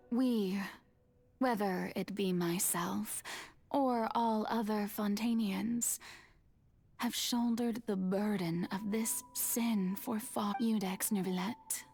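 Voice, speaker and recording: A woman playing a graceful, solemn goddess in a video game, with measured, theatrical and earnest delivery. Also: mid-range voice, darkish tone. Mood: grave, hushed. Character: graceful, solemn female god calmly facing her own execution. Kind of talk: scripted dramatic game voice acting.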